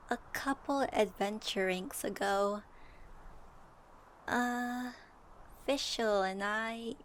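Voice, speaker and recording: A woman playing an earnest, gently wry young traveler in an ASMR audio roleplay, soft and thoughtful. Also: fairly high pitch. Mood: sheepish. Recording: faint noise and ambience.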